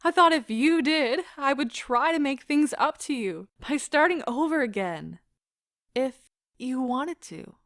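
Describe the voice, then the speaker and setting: An actress performs a wounded, bitterly defiant wife in an audio drama. Her high voice moves slowly and deliberately, heavy with impassioned theatrical feeling. The sound is close and dry. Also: expressive, a bit bright, no room sound, exaggerated voice. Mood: brittle, hurt.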